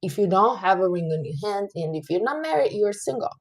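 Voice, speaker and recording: A woman's voice: mid-range voice, animated, fairly quick, slightly rough. A woman in her late thirties, chatting casually on a livestream and earnestly giving life advice to her viewers. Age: 30s. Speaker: livestream host.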